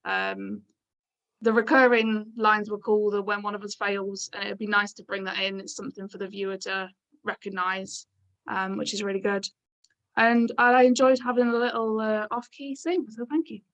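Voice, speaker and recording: Woman giving casual feedback, medium pitch. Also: little pitch movement, moderate pace, a bit bright, low-quality recording. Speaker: reader giving script feedback.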